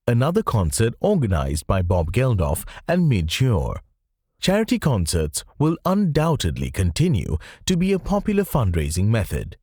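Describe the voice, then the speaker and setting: A man reading scripted nonfiction audiobook narration in a measured, explanatory way. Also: mid-range voice, darkish tone, dry recording, clean studio sound.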